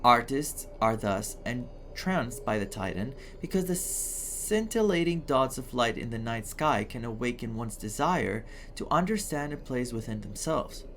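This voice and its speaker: Expressive male game streamer from Greece. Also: medium pitch, a little slow, hardly any pauses, slight background noise. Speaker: gaming streamer. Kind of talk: game playthrough commentary, reading game text aloud. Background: game music.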